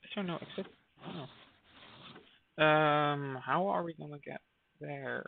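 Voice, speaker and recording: A man's voice: fairly high pitch, a little slow, vocal fry, a little hiss or hum. A man giving casual live commentary while streaming a game, thinking aloud with hesitant fillers. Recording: dry, phone-like narrow sound.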